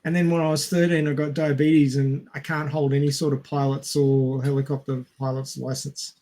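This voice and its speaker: A man's voice: mid-range voice, flat delivery, normal speed, mellow. Man chatting hesitantly on a headset. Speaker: podcast co-host. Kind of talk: casual film podcast chat.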